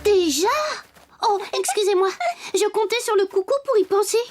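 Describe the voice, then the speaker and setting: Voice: very high voice, moderate pace, over music. An actor voicing an eager, kindly little wooden puppet boy in a French children's cartoon, earnest and helpful. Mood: apologetic, puzzled. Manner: earnest, exclaiming, reassuring.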